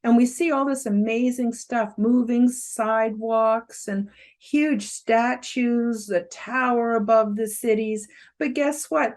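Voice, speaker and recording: Loud, outspoken older woman presenter. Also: fairly high pitch. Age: about 70. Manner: conversational with frequent fillers, emphatic rises. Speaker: host giving a self-help presentation. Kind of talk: casual spoken presentation to camera.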